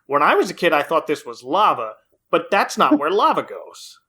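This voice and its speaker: A man with a fairly high, very bright and forward voice hosts a casual podcast. He recaps with amused enthusiasm, in the loose manner of a chat among friends. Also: wildly swooping pitch, normal speed, breathy edge. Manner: enthusiastic, amused, laughs often while talking. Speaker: podcast host.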